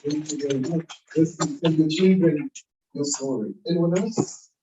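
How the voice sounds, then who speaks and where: A man hosting a community event, who thanks a speaker and briskly invites more questions. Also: fairly high pitch. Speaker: event host. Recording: dull, echoey, from far off with faint noise.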